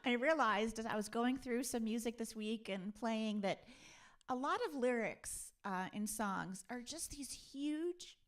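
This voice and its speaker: A woman's voice: fairly high pitch, measured pace. A woman hosting a casual live stream, chatting in a playful, conversational way. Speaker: live stream host and musician.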